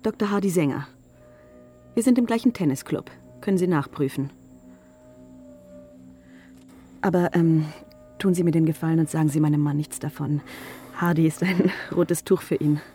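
An actress playing a shrewd, flirtatious wife with a sardonic, challenging manner in a radio crime drama. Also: female voice, low-pitched, a little fast, warm tone, soft background music, natural voice. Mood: wheedling. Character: shrewd, flirtatious, scheming wife, sharp-tongued when crossed.

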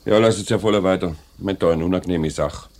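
Fair-minded middle-aged factory boss, very low voice, Swiss German, polite and deliberate, vintage radio play. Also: male voice, darkish tone. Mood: measured, firm. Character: measured, fair-minded male factory director. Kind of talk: 1950s Swiss radio drama acting.

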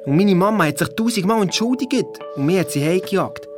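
A performer playing a cheeky, excitable schoolboy detective in a children's audio drama, telling things in slangy Swiss German-accented speech. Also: mid-range voice, a little fast, over music, natural voice. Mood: excited, indignant. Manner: slangy, teasing.

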